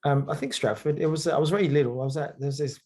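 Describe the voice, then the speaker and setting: A man from the UK, speaking thoughtfully in a conversational interview, often pausing with fillers and checking in with "right?". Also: on the high side, steady tone, darkish tone. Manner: thoughtful, hesitant, with frequent fillers and rhetorical "right?". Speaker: author and guest.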